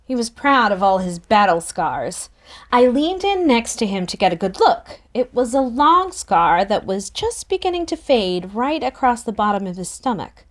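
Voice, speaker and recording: A woman narrating a first-person novel in a relaxed, expressive table-read style. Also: a little high, normal speed.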